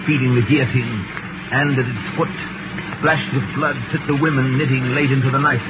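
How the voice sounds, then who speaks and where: A man acting in a 1930s radio drama, speaking as a grave, deliberate storyteller and loyal gentleman in a theatrical style. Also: medium pitch, flat delivery, close to an everyday voice. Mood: grim. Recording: very noisy, tinny 1938 radio recording.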